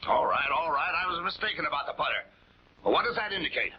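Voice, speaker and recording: A man's voice: on the high side, animated, quick pace, lightly acted. Actor as defensive man in muffled old crime drama. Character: evasive, defensive male murder suspect. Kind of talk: 1940s television crime drama acting. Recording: dull, noisy 1940s film soundtrack.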